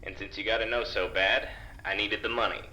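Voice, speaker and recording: A man playing a plain-spoken working-class security guard in a naturalistic audio drama, terse, hesitant and dry, reluctantly soft-hearted. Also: on the low side, steady tone, a little fast, roomy sound, a little hiss or hum, rough recording.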